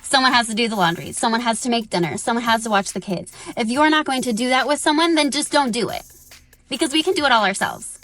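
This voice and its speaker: A woman's voice: a little high, fast talker, a bit bright. A woman delivering an assertive, emphatic monologue straight to camera for social media. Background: background music.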